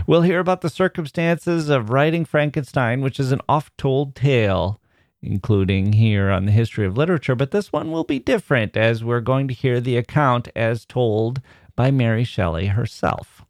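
Podcast host, male, middle-aged, mid-pitched, relaxed and chuckling, dry close studio sound. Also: no echo. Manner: warm, chuckling, self-deprecating. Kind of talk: casual podcast talk and reading a listener email.

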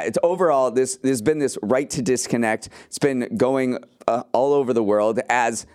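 An American man with a clear, smooth voice and a middling pitch. He talks through the news as a podcast co-host in an informal way, working out his thoughts as he speaks. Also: a little mellow. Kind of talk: casual news discussion.

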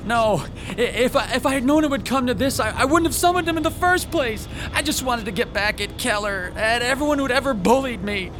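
A man's voice: extremely high pitch, expressive, a little fast, few pauses, background noise. An actor playing a timid, bullied young man in a horror radio drama, stammering and anxious. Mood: desperate pleading. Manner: stammering, nervous. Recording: music bed under the voice.

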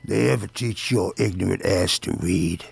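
A man's voice: deep voice, normal speed, creaky, a little hiss or hum. An actor playing a surly, taunting male customer in an audio drama.

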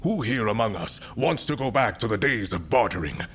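An actor playing a stern, measured middle-aged patriarch who rules a fantasy mountain council, speaking formally and deliberately. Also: male voice, lowish voice, lively intonation, a bit bright. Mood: measured, reasoning. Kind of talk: fantasy audio drama acting.

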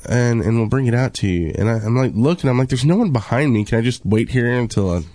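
A man's voice: mid-range voice, quick pace, mellow, dry recording. A man co-hosting a gaming podcast, telling stories in a casual, rambling way. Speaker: podcast co-host.

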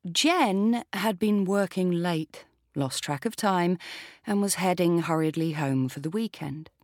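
A woman narrating a thriller audiobook as a quick-witted, wry female burglar, with deliberate delivery. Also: on the low side, no room sound, clean studio sound.

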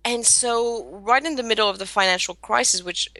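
A woman's voice: fairly high pitch, normal speed, bright, forceful tone, dry recording. A woman in her thirties who grew up in Greece, a guest telling her story in a chatty interview. She often restarts her sentences. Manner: chatty, many restarts and laughs. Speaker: guest, social media marketer.

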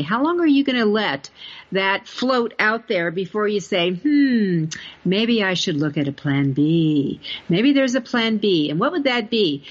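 A woman hosting a podcast interview in a conversational, emphatic, explanatory way. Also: medium pitch. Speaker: podcast host. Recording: dull, lo-fi audio.

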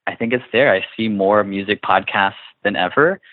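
A man's voice: lowish voice, normal speed, dry recording. A man speaking as an interview guest in thoughtful, informal conversation over a thin remote connection. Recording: thin phone-like line.

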